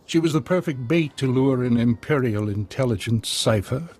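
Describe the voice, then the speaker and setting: An actor playing an old terrorist master in a video game, calm, smug and menacing, with a husky voice. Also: male voice, medium pitch, moderate pace, natural voice. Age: older. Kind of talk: video game villain acting.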